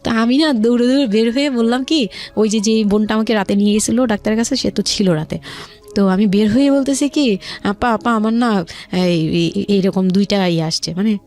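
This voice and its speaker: A woman's voice: medium pitch, a little fast, darkish tone. A woman with a Bangladeshi accent telling her personal story as an interview guest, colloquially, often repeating and rephrasing herself.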